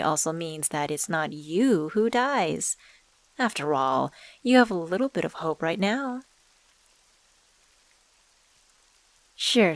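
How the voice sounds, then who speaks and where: A woman voicing an enigmatic supernatural dealmaker in an ASMR audio drama, coaxing and teasing in a deliberate, smooth-talking way. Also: lowish voice, dry recording. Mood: persuasive. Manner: coaxing, teasing, deliberate, calls listener sweetheart. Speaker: voice actor in a scripted audio drama.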